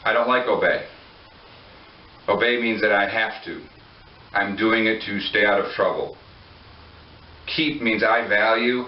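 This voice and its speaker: A man's voice: fairly low pitch, slight background noise. A man preaching a sermon in a conversational way, joking and putting questions to his listeners. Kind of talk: sermon to a congregation. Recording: echoey room, far from mic, dull lo-fi.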